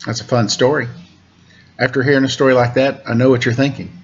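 A man in his forties reading a children's picture book aloud in a playful, expressive way, with chatty asides. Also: mid-range voice, fast. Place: room at home, indoors. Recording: muffled webcam audio with clear background noise.

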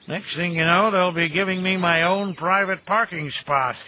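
Cheerful old cemetery caretaker, high-pitched, folksy and chatty, radio-play feel, noisy outdoor ambience behind. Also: male voice, unhurried, sharp tone, full character voice. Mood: genial, chatty. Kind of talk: audio drama acting.

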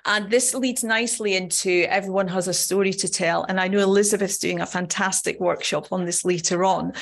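A middle-aged woman from Scotland with a Scottish accent, giving a personal conference talk in a conversational, earnest manner. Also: medium pitch. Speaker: nursing professor, conference speaker.

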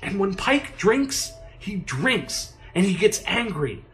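An actor playing an anxious, deferential adviser to a president in an audio drama, hesitant and cautioning. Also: male voice, high voice, very animated, slow, fairly bright tone, slight background noise, heavily acted. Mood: worried.

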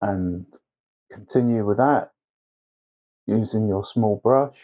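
Male painting instructor, lowish pitch, slow hesitant step-by-step delivery, slightly muffled recording. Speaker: watercolour tutor. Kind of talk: instructional painting tutorial narration.

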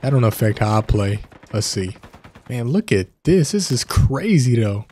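A man with a stuffy nose from a cold, hosting casual gameplay commentary, chatty and prone to excited outbursts. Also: mid-range voice, normal speed, slight background noise. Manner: chatty, addresses viewers as fellas, excited outbursts. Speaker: gaming channel host. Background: faint game gunfire.

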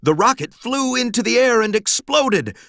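A man reading a children's storybook aloud in an expressive, dramatic, emphatic storytelling manner. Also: very high voice, moderate pace, gravelly, dry recording, studio quality. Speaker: storybook narrator.

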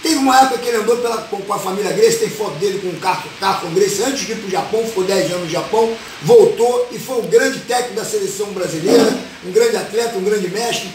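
A man's voice: high voice, fast talker, few pauses, darkish tone, reverberant, far from the mic, slight background noise, poor audio. A Brazilian man in his fifties hosting his channel, speaking to camera and introducing a tribute video. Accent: Brazilian Portuguese. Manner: speaks straight to camera, rambling and repeating himself. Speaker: channel host.

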